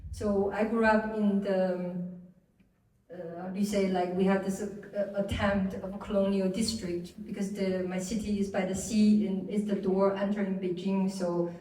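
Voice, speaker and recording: A woman from China, an artist on a panel, answering slowly and searching for her words. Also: medium pitch, level tone, warm tone, slight background noise. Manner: searching for words, many restarts. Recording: echoey room, mic far off.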